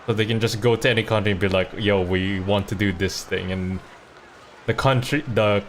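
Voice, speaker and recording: A man's voice: fairly low pitch, fairly even intonation, moderate pace, slight background noise. A man livestreaming a game, giving casual, rambling commentary in an informal way. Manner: rambling, informal, mildly profane. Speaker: streamer. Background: game music.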